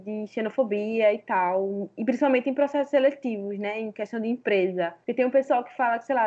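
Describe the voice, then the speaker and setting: A woman from Northeast Brazil steers the conversation with casual follow-up questions. Her voice sits a little high, and the recording sounds dull and lo-fi. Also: a little mellow. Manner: chatty, informal, asks follow-up questions. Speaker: interviewer.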